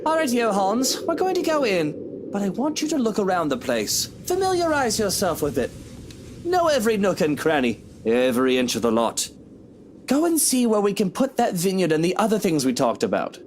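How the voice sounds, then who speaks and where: A man's voice: high-pitched, huge pitch swings, normal speed, slight background noise. A man playing a haughty, sarcastic, impatient rich husband in a dramatic visual novel, with a petulant and imperious manner. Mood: commanding. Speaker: game character.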